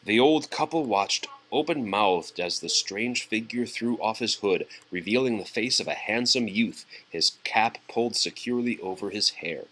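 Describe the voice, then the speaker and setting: Bright-voiced man reading a storybook. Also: fairly low pitch, expressive, moderate pace, few pauses, low-quality recording. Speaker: storybook reader to a child. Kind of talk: reading a children's storybook aloud.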